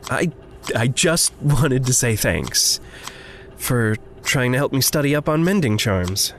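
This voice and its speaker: A man's voice: mid-range voice, animated, slow, slight background noise. A man playing an earnest, grateful, idealistic young man in a fiction podcast, speaking hesitantly. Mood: sincere, grateful. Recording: music underneath.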